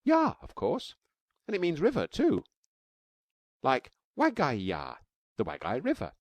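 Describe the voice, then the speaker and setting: A man voicing an eager, chattering little cave girl with a high, squeaky voice. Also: huge pitch swings, normal speed, no echo.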